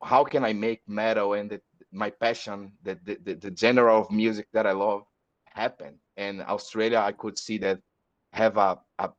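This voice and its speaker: A man from Brazil speaking English with a Brazilian accent, a metal musician chatting on a podcast, halting and repeating words as he searches for them. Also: on the low side, little pitch movement. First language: Portuguese. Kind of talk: casual podcast chat. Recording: dull lo-fi audio.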